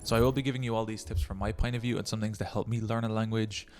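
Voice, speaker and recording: A man's voice: on the low side, steady tone, quick pace, darkish tone, soft background music. A young man giving encouraging language-learning tips in a casual vlog, talking straight to the viewer. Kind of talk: casual tutorial vlog talk.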